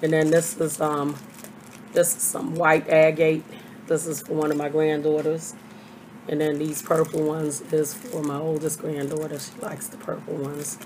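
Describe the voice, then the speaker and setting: An older woman, hoarse from a cold, chatting casually through a haul video at her webcam with lots of fillers. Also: low voice, completely flat delivery, measured pace, background noise. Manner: chatty, rambling with many fillers. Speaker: vlogger. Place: small bedroom. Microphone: webcam at desk.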